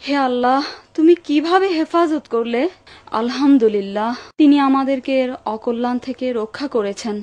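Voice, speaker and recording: A woman's voice: sits high, normal speed, darkish tone, lightly acted. A woman voicing an anxious, pious woman of the household in a dubbed cartoon, exclamatory in manner. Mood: anxious, thankful.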